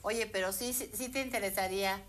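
A woman's voice: medium pitch, steady tone, a little fast, fairly bright tone. Woman co-host, chatty questions. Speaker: interviewer. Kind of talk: casual interview chat.

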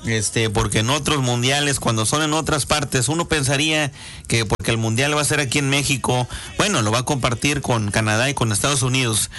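A man with a Mexican Spanish accent hosting a radio show, hoarse-voiced, chatty and folksy as he reads out listener greetings. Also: medium pitch, a little fast, bright, forceful tone, faint music behind. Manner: chatty, folksy, many fillers. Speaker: radio host. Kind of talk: casual radio chat reading listener greetings.

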